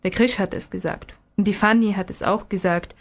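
A woman voicing a patient father who questions and explains gently in a storybook reading. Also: medium pitch, steady tone, fairly quick, close to an everyday voice. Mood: patient explaining. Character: patient, gently probing father of a small boy.

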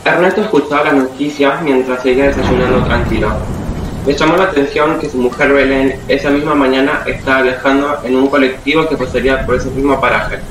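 Male amateur as narrator of a dark love tale, radio drama delivery, roomy distant recording. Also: medium pitch, fairly even intonation, fairly quick, lightly acted. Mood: storytelling. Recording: echoey, distant, noisy room recording.

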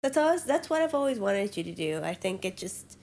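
A woman's voice: mid-range voice, very animated, fairly quick, creaky, darkish tone. A young woman co-hosting a casual podcast chat, sniffly from allergies, blunt and swearing freely. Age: young adult. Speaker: podcast co-host.

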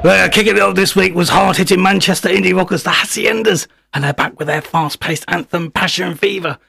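A man hosting a music radio show, slightly husky while recovering from a chest infection, talking chattily with many fillers. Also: high voice, steady tone, brisk, bright, forceful tone. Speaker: radio host.

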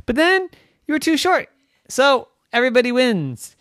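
A woman's voice: sits high, very animated, moderate pace, no echo, clean studio sound, voice pushed up. A woman voicing a reassuring, slightly exasperated mother in a bedtime story, coaxing and emphatic. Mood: supportive.

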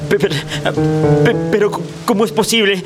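An actor playing an anxious, gruff provincial schoolteacher husband in a radio drama, with an emotive delivery. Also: male voice, high-pitched, fairly even intonation, normal speed, over loud music, natural voice. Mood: distraught, indignant. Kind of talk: radio theatre acting.

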